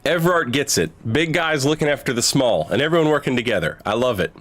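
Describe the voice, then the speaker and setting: Male game streamer, medium pitch, casual playthrough, reads dialogue aloud. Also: animated, fast, fairly bright tone, no echo.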